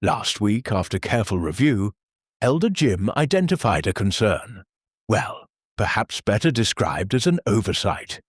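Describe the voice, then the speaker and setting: An actor playing a stiff, rule-bound male church minister who reads announcements in a formal, deadpan, bureaucratic way. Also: fairly low pitch, a little slow, breathy edge, no echo, studio quality, heavily acted. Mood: solemnly formal. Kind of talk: satirical audio drama.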